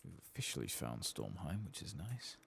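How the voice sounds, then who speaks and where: Very deep, gravelly soft-spoken man. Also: big pitch swings, moderate pace, a little hiss or hum. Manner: muttered, absent-minded thinking aloud, casual swearing. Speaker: host of a gameplay channel. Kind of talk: soft-spoken ASMR gameplay commentary.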